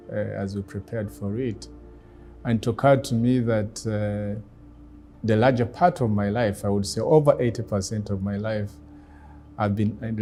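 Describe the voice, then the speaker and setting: A middle-aged man with a Rwandan accent, a native Kinyarwanda speaker, talking reflectively in a deliberate, measured way with pauses. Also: fairly low pitch, dark tone. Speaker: guest speaker, former ambassador. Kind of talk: reflective personal talk.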